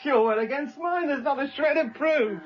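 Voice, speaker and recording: A man's voice: very high voice, normal speed, highs cut off. An actor playing a menacing, obsessive young British policeman turned woman-hating killer in a radio drama. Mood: bitter, manic. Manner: menacing, self-justifying, obsessive.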